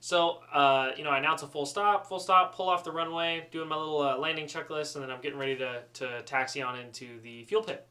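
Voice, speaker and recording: A man telling a casual, humorous story in an animated, chatty, self-deprecating way. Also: on the high side, fairly quick, few pauses. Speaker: student pilot storyteller.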